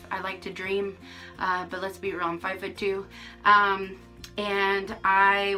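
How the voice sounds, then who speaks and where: A woman's voice: mid-range voice, pushed and bright, rough recording. A woman in her forties giving a casual, frank vlog update to camera from her rural home, with lots of ums and restarts. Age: 40s. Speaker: vlogger.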